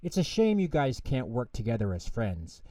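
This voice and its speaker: A man voicing a big, gentle male bear, patient, caring and reassuring, in a children's story. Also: mid-range voice, wildly swooping pitch, moderate pace, no echo. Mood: patient, coaxing.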